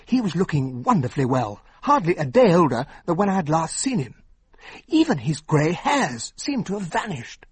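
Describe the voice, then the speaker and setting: An actor playing a genial, candid English gentleman, the loyal friend of a detective, bluff and expressive in a radio drama. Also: on the high side, moderate pace, breathy edge, full character voice. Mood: narrating. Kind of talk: radio drama acting and first-person narration.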